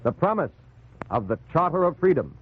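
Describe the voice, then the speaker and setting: A man narrating a 1940s radio drama in a solemn, measured, dramatic way. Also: fairly high pitch, big pitch swings, husky. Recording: tinny, band-limited 1940s radio recording.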